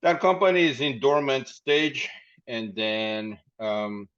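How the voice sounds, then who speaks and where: A man's voice: mid-range voice, lively intonation, slow pace, fairly bright tone. A man, a company founder telling his story in a casual interview, rambling and laughing often. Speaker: podcast guest, company founder. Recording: dull lo-fi sound.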